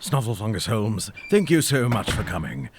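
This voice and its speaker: A man playing an earnest, fearful doctor in a comedic audio drama, speaking in a halting, solemn, melodramatic way. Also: lowish voice, very animated, gravelly, background noise.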